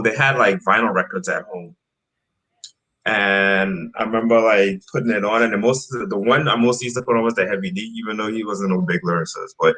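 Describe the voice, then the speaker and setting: A man from Trinidad in his thirties, relaxed and rambling as he tells his story with lots of fillers. His voice sits low but has a bright, forward edge. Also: low-quality recording. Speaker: guest rapper. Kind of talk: casual interview chat.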